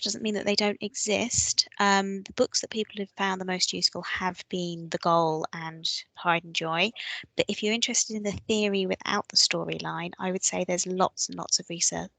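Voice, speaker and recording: A woman's voice: on the low side, gravelly, fairly bright tone, no echo, rough recording. A woman hosting an online course, answering audience questions in a chatty, practical way. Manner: chatty, practical, lots of fillers. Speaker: webinar host.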